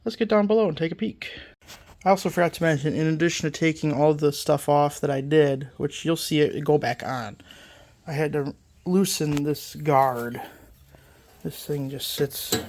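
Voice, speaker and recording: Gravelly voice, stuffed-up nose after a cold, rambling mechanic, lots of ums, casual workshop walkthrough. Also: mid-range voice, measured pace, a little mellow. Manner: rambling, self-correcting, many fillers. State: congested from a lingering cold. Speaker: small engine repair channel host. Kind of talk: casual hands-on repair walkthrough.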